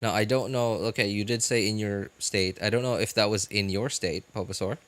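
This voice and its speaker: A man hosting a livestream, chatting casually and unscripted with viewers in a halting, rambling way that often repeats phrases. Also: lowish voice, steady tone, fairly bright tone. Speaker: livestream host.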